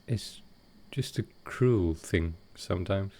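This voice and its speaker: Guy acting as a soft-hearted vampire lord, really low voice, takes his time, tender and kinda hesitant, audio roleplay. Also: takes it slowly, gravelly, heavily acted. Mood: sorrowful, reflective. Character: gentle, grieving male vampire lord comforting a guest.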